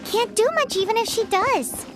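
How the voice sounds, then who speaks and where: A woman voicing a small, childlike, eager fairy companion in a video game, with a squeaky, perky delivery. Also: normal speed, over music. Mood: curious. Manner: perky, speaks of self in third person.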